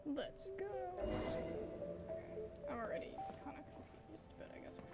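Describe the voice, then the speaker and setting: High-pitched, animated young woman gamer. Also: unhurried. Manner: informal, slangy, skeptical asides. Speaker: video game streamer. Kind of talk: casual gameplay reaction commentary. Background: game music.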